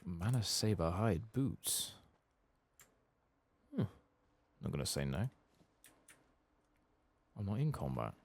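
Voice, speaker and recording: A man giving soft-spoken, sometimes whispered ASMR game commentary, muttering casually and swearing to himself. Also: low voice, sing-song, slow, vocal fry, long pauses, a little hiss or hum. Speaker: ASMR gaming streamer.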